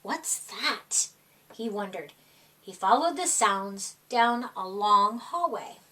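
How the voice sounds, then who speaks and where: A woman reading a children's picture book aloud in an expressive, dramatic storytime style, drawing out words for young listeners. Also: medium pitch, takes it slowly, distant mic.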